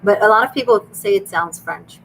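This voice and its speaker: A woman's voice: mid-range voice, fairly quick, fairly bright tone, slight background noise, rough recording. A woman with an Israeli Hebrew accent, hosting a live tarot and dream reading in an earnest, chatty way with many restarts. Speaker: tarot reader and host. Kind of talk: live stream reading for viewers.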